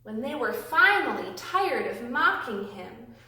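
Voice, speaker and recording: A woman reading aloud and teaching young children, with simple, deliberate, emphatic phrasing, recorded in a classroom. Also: on the high side, sing-song, pushed and bright. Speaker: children's Bible storytime host, reading aloud. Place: indoors, a classroom. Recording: very echoey room, distant microphone.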